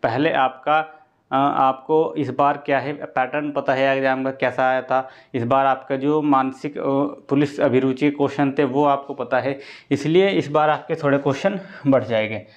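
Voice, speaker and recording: Casting: male exam coach, informal and explanatory, repeats points, checks understanding; muffled lo-fi audio, mid pitch. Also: steady tone, moderate pace. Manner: explanatory, repetitive, often checks with "okay". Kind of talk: informal exam-prep lesson to students. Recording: dull lo-fi sound.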